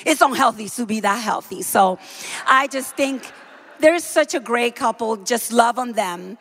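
Woman, preacher, high voice, fervent, shouting at peaks, church sermon. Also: lively intonation, a little slow. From Dominican Republic. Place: church sanctuary.

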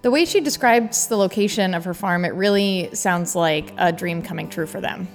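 A woman from the United States hosting a podcast, reading scripted narration in a clear, upbeat way. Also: on the low side, moderate pace, faint music behind. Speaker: podcast host.

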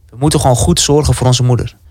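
A man's voice: mid-range voice, fairly even intonation, fast, darkish tone, dry recording, lightly acted. An actor playing a young man in a wartime radio drama, a sceptical and dutiful brother who is dry and reluctant. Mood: reproachful, wry.

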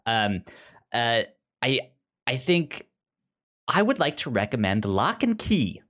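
A man hosting a podcast in casual review chat, speaking haltingly and often repeating syllables and words. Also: medium pitch, sing-song, fairly bright tone. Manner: halting, frequent stutter-like repetition of syllables and words. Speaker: podcast host.

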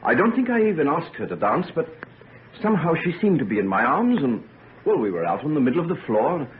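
Ardent young gentleman, old tinny radio. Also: on the high side, very animated, brisk. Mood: remorseful, pleading. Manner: earnest, pleading, impassioned. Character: ardent young gentleman, remorseful yet sure of his beloved. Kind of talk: old-time radio drama acting. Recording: noisy, narrow-band vintage radio recording.

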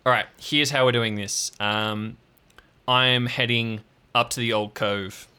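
A man voicing a brisk, no-nonsense male police officer who gives direct orders. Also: medium pitch, steady tone, sharp tone, smooth.

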